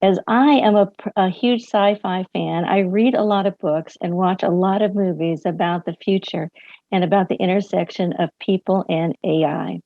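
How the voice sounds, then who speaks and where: A woman hosting a library event, reading a scripted introduction of a guest speaker at a measured, deliberate pace. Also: on the low side, fairly even intonation, vocal fry, no echo. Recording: dry, muffled lo-fi audio.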